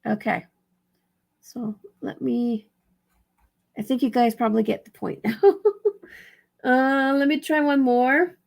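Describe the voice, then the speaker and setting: Casual female tutorial vlogger, higher register, very creaky and raspy, tired, thinks out loud. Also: steady tone, takes it slowly, long pauses. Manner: rambling, thinking aloud, self-correcting. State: tired, dry-throated. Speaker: vlogger giving reselling tips. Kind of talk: casual screen walkthrough tutorial.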